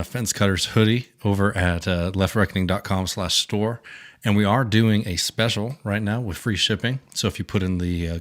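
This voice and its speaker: A man hosting a casual live stream, slightly hoarse, talking in a relaxed and friendly way with many fillers. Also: low-pitched. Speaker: livestream host.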